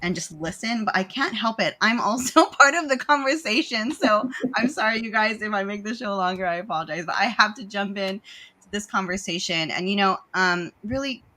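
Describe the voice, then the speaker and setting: A woman's voice: medium pitch, expressive, normal speed, bright, forceful tone. A woman hosting a casual panel discussion over a video call, chatty and apologetic, laughing between thoughts, whose first language was Tagalog. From United States. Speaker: host.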